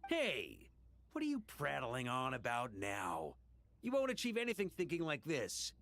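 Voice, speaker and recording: Highly animated, brash friend. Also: male voice, gravelly, exaggerated voice. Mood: rousing, chiding. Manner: theatrical, brisk, exhorting. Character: brash, encouraging male friend urging courage.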